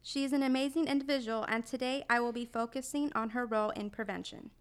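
Smooth-voiced upbeat woman host. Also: a little high, fairly even intonation, fast. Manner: upbeat, announcing. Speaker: podcast host, program specialist. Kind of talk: scripted podcast intro and interview.

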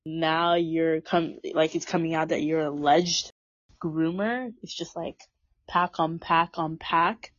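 A woman's voice: deep voice, fairly even intonation, slow, no echo. A woman in her twenties hosting a casual podcast, talking in a chatty, opinionated way with lots of fillers. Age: 20s. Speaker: podcast host. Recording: dull lo-fi sound.

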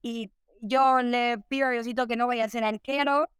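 A woman's voice: on the high side, steady tone, normal speed, smooth, no room sound, studio quality. A young adult woman co-hosting a podcast, telling stories in a cheerful, chatty way. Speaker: podcast co-host. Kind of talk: casual chat.

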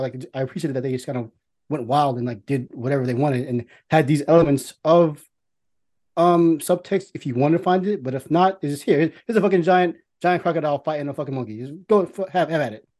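A man in a casual movie discussion, talking in a rambling, halting way with plenty of swearing. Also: on the high side, darkish tone. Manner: rambling, halting, profanity-laced. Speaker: podcast co-host.

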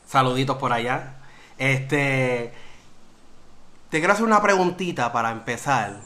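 A man's voice: mid-range voice, measured pace, pushed and bright. A man hosting a casual interview, friendly and hesitant, often restarting his questions. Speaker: podcast host.

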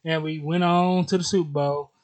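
A man's voice: on the high side, steady tone, normal speed. An American man co-hosting a casual sports podcast, talking football in an informal, slangy, teasing way.

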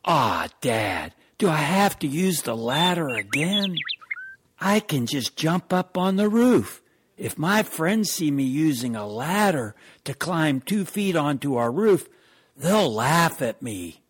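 A man's voice: a little high, very animated, slow pace, breathy edge, full character voice. A man playing an inquisitive, doubtful young son who questions and whines in a comedy radio sketch. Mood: puzzled, protesting. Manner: questioning, whiny protest.

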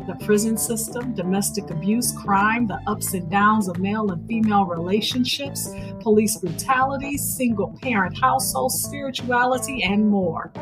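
A woman radio host reading a scripted introduction of a featured storyteller in a deliberate, emphatic way. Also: on the high side. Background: music, plucked guitar.

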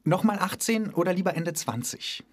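A man hosting a podcast in a relaxed, joking manner, interviewing a colleague. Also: sits high, normal speed. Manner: playful, lively, jokes with the guest. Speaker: podcast host, actor and author at a children's theater.

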